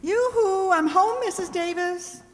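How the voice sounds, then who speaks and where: A woman playing a wry, quick-witted schoolteacher in an old-style radio comedy, with a dry, arch wisecracking delivery. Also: very high voice. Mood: bright. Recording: echoey hall, long reverb.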